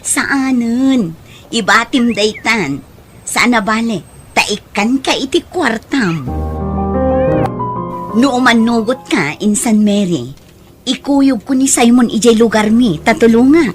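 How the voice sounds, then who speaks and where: A woman with an Ilocano accent playing a persuasive, caring aunt in an audio drama, with an expressive, coaxing delivery. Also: fairly high pitch, slow, pauses often, soft background music. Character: Auntie Mikaela, persuasive, caring female relative.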